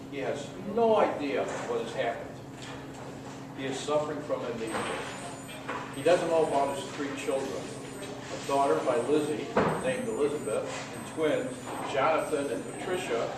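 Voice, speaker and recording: Melodrama narrator, read by a man: measured declaiming, slightly high voice, big echoey room. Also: lightly acted. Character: storyteller narrator of a melodramatic radio play. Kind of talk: scripted radio play narration. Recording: echoey noisy hall, distant microphone.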